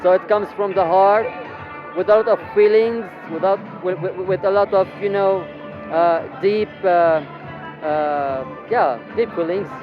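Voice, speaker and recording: A man, a musician, giving a halting festival interview outdoors, pausing to search for words. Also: sits high. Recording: lo-fi outdoor recording with crowd and music.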